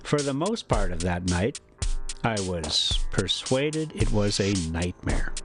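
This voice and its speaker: A man's voice: on the low side, sing-song, takes it slowly. A man with an informal, folksy manner, hosting and telling stories, moving between casual chat and reading a classic novel aloud. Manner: informal, folksy, lightly profane. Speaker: host and storyteller. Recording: music behind the voice. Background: music.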